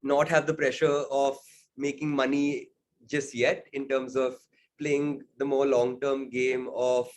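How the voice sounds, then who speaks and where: A young man from India talks through his choices in an interview, and his voice sits at a middle pitch. He pauses with "uh" and "um" and keeps checking in with "right?". The delivery is reflective and unhurried. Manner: thoughtful, many fillers and "right?" tags. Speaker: singer-songwriter guest.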